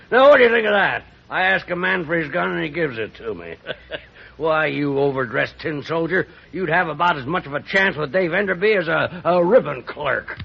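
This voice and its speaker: An actor playing a scornful, wounded American rancher in a radio Western, with gravelly, folksy, blustering speech. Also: male voice, a little high, lively intonation, normal speed, a little hiss or hum. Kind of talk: old-time radio Western acting. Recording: muffled vintage radio recording.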